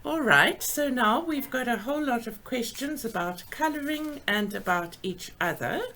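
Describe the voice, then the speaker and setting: An older woman with a South African English accent, hosting a chatty video call from home, enthusiastic and quick to laugh. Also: on the high side, lively intonation, bright, forceful tone, slight background noise, poor audio. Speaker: host. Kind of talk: casual chat. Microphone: video call with earphones.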